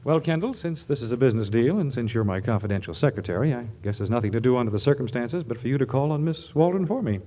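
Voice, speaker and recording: A man's voice: mid-range voice, expressive, quick pace, few pauses, mellow, lightly acted. An actor playing a harried, impatient, oblivious businessman in a 1940s radio comedy. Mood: urgent.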